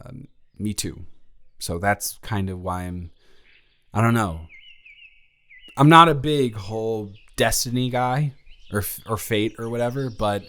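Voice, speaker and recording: A man's voice: lowish voice, fairly bright tone. A young man playing an awkward, smitten, introverted college dormmate in a romantic audio roleplay, rambling and stammering in a flustered way. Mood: shy, sincere. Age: young adult. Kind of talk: intimate romantic audio roleplay, conversational acting.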